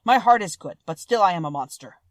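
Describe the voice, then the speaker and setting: A woman voicing a gentle, lovesick male beast in a storybook, mournful and humble, with formal old-fashioned speech. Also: fairly low pitch, animated, fairly quick, no echo, clean studio sound, close to an everyday voice. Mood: humble, sad.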